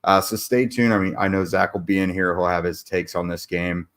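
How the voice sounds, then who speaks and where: Low-voiced man recapping a football game. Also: fairly quick. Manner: many "uh" fillers and restarts, steady recap tone. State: losing his voice. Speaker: podcast host. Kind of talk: casual sports analysis.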